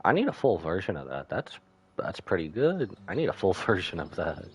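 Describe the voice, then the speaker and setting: A man's voice: low-pitched, sing-song, moderate pace, breathy edge. A man giving casual, joking live commentary as he streams a video game. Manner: joking, offhand. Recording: faint game music behind.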